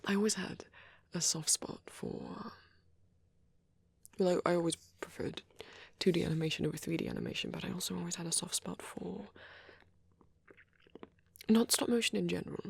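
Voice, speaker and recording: A performer voicing a shy, gentle young vampire boyfriend in an ASMR roleplay, with a soft, hesitant, often whispered delivery. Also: mid-range voice, creaky, slightly rough. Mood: fondly nostalgic. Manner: hesitant, halting, murmured musing.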